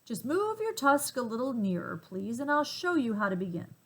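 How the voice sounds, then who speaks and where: A woman reading a storybook aloud and voicing a polite, quick-witted young man who sounds eager and apologetic. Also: a little high, sing-song, pitched above the performer's own voice.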